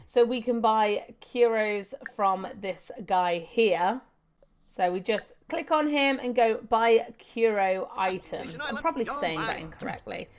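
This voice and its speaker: High-voiced woman giving chatty game commentary. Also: a little hiss or hum. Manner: chatty, talks to herself while playing. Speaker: video game walkthrough host. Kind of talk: casual gameplay commentary mixed with reading on-screen text.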